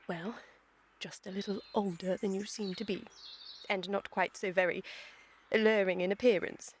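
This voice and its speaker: A woman's voice: mid-range voice, slight background noise, natural voice. A British woman playing an imperious, self-assured young aristocrat in a comic period radio play, emphatic and mannered.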